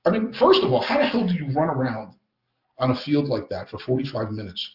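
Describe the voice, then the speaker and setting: A man's voice: mid-range voice, sing-song, fairly quick, slight background noise. Male podcast host, informal and rambling, jokey delivery, muffled sound in an echoey room. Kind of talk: casual chat and interview. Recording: roomy, muffled band-limited podcast sound.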